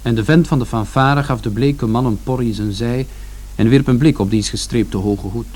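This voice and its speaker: An actor playing a reflective son who narrates a bleak family story in a radio drama. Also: male voice, mid-range voice, moderate pace, a little mellow, lightly acted. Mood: matter-of-fact, sombre. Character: George, reflective younger son recalling his family's bleak Saturday. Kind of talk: scripted first-person narration in radio drama.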